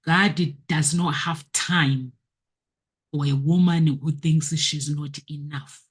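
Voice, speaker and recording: A woman's voice: sits low, steady tone, slow, slightly rough. A woman hosting a motivational video, talking earnestly to her viewers and repeating her key phrases.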